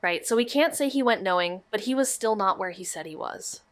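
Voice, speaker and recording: Young woman, amateur sleuth voiced by an actress, medium pitch, level-headed, dryly sardonic, casual mystery drama dialogue. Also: expressive, fairly quick, dry recording, close to an everyday voice. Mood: focused, dry. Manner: matter-of-fact, casual, sardonic. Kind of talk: scripted audio drama dialogue.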